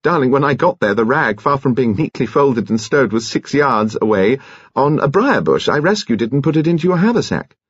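A British man voicing an affable young upper-class grandson in an audiobook, polite, fond and gently teasing. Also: medium pitch, animated, brisk, breathy edge, dry recording, muffled recording, voice pushed up. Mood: polite, careful. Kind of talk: audiobook character acting.

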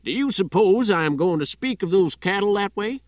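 A man's voice: high-pitched, expressive, moderate pace, no echo, highs cut off, pitched above the performer's own voice. A man voicing an irascible, blunt, indignant newspaper editor in an audiobook story. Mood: outraged. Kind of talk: audiobook character voice.

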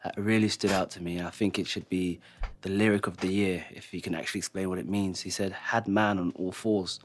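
A man's voice: sits low, steady tone, a little fast. A man speaking as a panel judge in casual banter.

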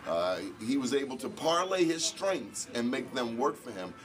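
A man's voice: medium pitch, sing-song, a little hiss or hum. A middle-aged man, an event organizer and radio host, speaking reflectively in an on-camera interview in an indoor hall. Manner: reflective, measured, gestures with hands.

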